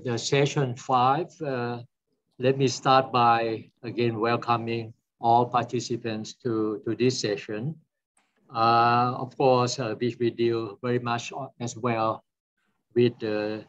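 An older man in his sixties introduces a conference panel as its chair. His voice sits at a medium pitch for a man, and he speaks haltingly, feeling for the next word. The sound is slightly dull and lo-fi, like a computer mic on a video call. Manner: halting, searching for words. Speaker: session chair. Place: small office, indoors.

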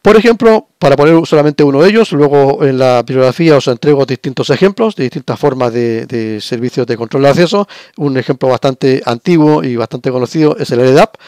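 An older man lectures in a steady, middle-pitched voice, a little hoarse because he has a cold. He explains patiently, repeating points and filling pauses with small hesitations. The sound is close and dry, recorded at a desk at home. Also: dry recording. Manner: explanatory, repetitive, many fillers and restarts. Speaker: university lecturer. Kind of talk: recorded lecture over slides.

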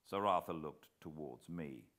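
A middle-aged British man giving a measured, expressive dramatic reading of a story as an audiobook narrator. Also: a bass voice. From Britain. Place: living room armchair beside a fireplace.

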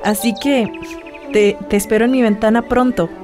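Actress as young Costa Rican woman, high voice, halting and breathless, headstrong, radio drama, faint music behind. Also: steady tone, natural voice. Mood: tender, anxious. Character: headstrong young woman, secretly in love, daring daughter. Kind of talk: radio soap opera acting.

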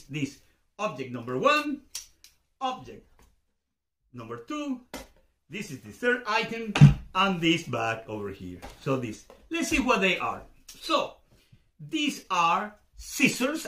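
A man's voice: on the high side, bright, forceful tone. A middle-aged Venezuelan man whose first language is Spanish, speaking English with a Spanish accent as a YouTube host, hesitant with many fillers and restarts, at a desk in a room at home. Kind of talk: casual explainer to camera. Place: home room with shelves, indoors. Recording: roomy echo.

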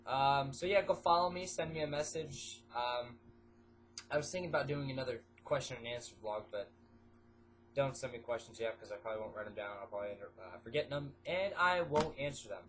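A stuffy-nosed teenage boy chats loosely into a desk webcam, his voice sitting at an ordinary middle pitch. He wanders from topic to topic with plenty of "uh" and "um." A faint hiss runs under the small bedroom recording. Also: brisk, poor audio. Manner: rambling, filler-heavy, jumps between topics. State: stuffy nose. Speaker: vlogger. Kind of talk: casual webcam vlog.

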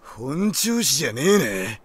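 An actor playing a cocky, hot-blooded young warlord in an audio drama, husky and brash, with curt slang and English catchphrases. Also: male voice, medium pitch, very animated, slow pace, exaggerated voice. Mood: aggressive. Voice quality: husky, rough. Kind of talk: Japanese anime-game audio drama acting.

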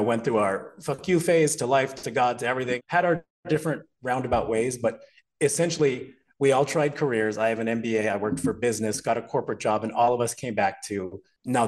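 A man in his forties from Montreal, a fitness expert guesting on a podcast, telling his life story in an animated, chatty way. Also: medium pitch, a little fast. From Montreal, Canada. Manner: animated, storytelling, laughs often. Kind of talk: casual interview chat.

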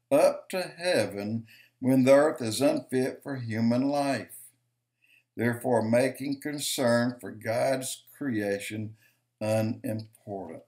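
An older man giving slow, emphatic preaching-style commentary to a webcam in his home office, counting off points and repeating lines for stress. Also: mid-range voice, a little mellow. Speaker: video commentator.

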